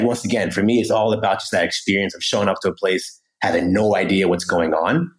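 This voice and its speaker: A low-pitched man from the United States tells his story as an interview guest. He talks casually and energetically, dotting his sentences with "right?" and "you know.". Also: poor audio. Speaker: podcast guest.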